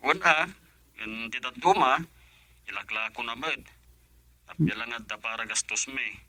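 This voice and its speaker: A man's voice: medium pitch, animated, unhurried, pushed and bright, heavily acted. An actor playing an affectionate husband and father in a radio drama, with a Filipino accent. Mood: easygoing. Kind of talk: Ilocano radio drama acting.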